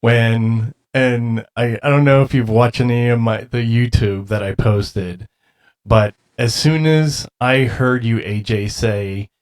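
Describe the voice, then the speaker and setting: Male host, loud and excitable, rambling casual interviewer. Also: medium pitch, slow. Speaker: radio show host interviewer.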